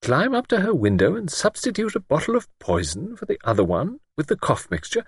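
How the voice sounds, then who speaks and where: A man's voice: fairly high pitch, wildly swooping pitch, fairly quick, breathy edge, no room sound, voice pushed up, exaggerated voice. A man voicing a genteel, hesitant and anxious elderly woman in an audiobook, speaking in prim, careful, formal phrases. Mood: anxious, earnest. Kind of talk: audiobook character voice.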